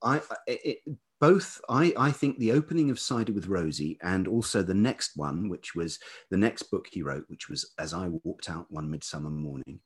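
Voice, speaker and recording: Deep, velvety, husky man, thoughtful. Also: lively intonation. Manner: thoughtful, halting, often pausing mid-phrase. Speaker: podcast guest, writer and life writing teacher. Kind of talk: reflective interview talk.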